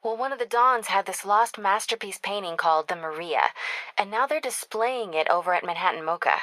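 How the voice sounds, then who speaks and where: An American actress playing a young woman reporter in a video game, caring, wry and quick-witted, in natural conversational dialogue. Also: mid-range voice, expressive, pushed and bright, dry recording. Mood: earnest.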